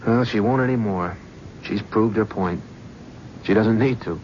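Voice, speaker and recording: A man's voice: lowish voice, unhurried, darkish tone, natural voice. A man playing a remorseful middle-aged agent. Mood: resolute. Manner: earnest, pleading, emotional. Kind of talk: 1970s radio drama acting. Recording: muffled old radio recording with hiss.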